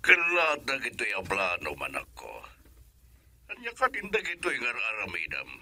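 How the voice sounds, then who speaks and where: A man's voice: medium pitch, huge pitch swings, moderate pace, pushed and bright, no echo, full character voice. A man acting a troubled older father in an Ilocano radio drama, with an Ilocano accent. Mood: concerned. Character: older father, troubled and questioning his daughter.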